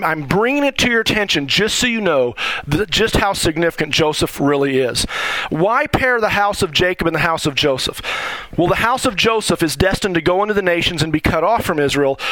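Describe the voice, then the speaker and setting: A man in his forties preaching a sermon in a chatty, animated way, laughing often. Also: on the high side, no echo, rough recording. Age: 40s. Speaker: pastor.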